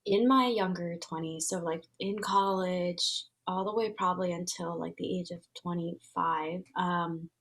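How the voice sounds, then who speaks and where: A woman's voice: fairly low pitch, measured pace, hardly any pauses, a bit bright. Earnest woman, Vietnamese native speaker. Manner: earnest, searching, with many fillers and restarts. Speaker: guest, transformation facilitator. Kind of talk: casual reflective interview talk.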